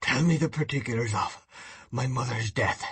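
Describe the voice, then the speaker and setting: A man's voice: medium pitch, normal speed, fairly bright tone, breathy edge. A man reading an audiobook voices an enraged, grief-stricken husband in a hard, accusing tone. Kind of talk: audiobook reading of a classic novel.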